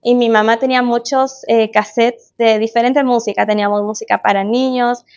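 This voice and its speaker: Higher-voiced young Chilean woman. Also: steady tone, normal speed, clear, resonant tone, highs cut off. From Chile, born in Guatemala. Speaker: podcast guest. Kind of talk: casual interview chat, personal reminiscence.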